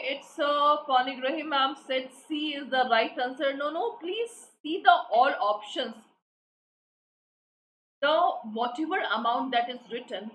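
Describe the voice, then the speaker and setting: An Indian English woman tutor runs a live online class, repeating each question and pressing students for the answer. Her voice sits a little high. It is recorded in an echoey room with faint hiss behind it. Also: fairly bright tone. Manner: repetitive, prompting students for answers.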